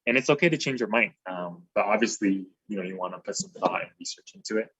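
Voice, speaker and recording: A man's voice: fairly low pitch, expressive, fast talker, fairly bright tone, breathy edge, dry recording, poor audio. A man in his twenties from Taiwan, a dental resident giving an easygoing slide talk over a video call, with many ums and uhs. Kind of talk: informal slide presentation.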